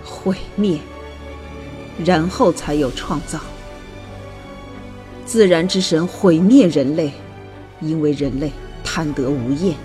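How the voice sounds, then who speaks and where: A woman playing a solemn scientist in an audio drama, reciting prophetic scripture in a measured way. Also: sits low, darkish tone.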